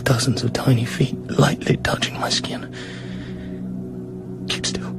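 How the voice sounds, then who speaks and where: An actor playing a cool British secret agent in a radio drama, speaking in terse, clipped and often hushed phrases. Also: male voice, mid-range voice, level tone, a little slow, vocal fry, whispered. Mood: tense, hushed. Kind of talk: radio drama acting with internal monologue. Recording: radio drama with music underneath.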